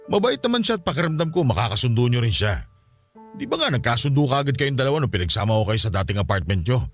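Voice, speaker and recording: A man's voice: medium pitch, big pitch swings, fast. An actor with a Filipino accent playing a domineering philanderer who bankrolls his mistresses, bossy and threatening, in a radio drama. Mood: coaxing. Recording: music behind the voice.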